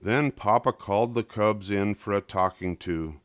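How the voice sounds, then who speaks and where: Low-voiced older man reading a storybook. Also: a little slow, dry recording. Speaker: storybook reader. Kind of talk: scripted children's storybook narration.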